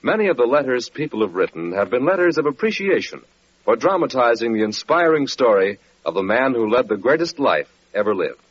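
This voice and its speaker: A man's voice: medium pitch, sing-song, fairly quick, breathy edge. A man with an American accent announcing on 1940s radio in a formal, promotional way, on a muffled old recording.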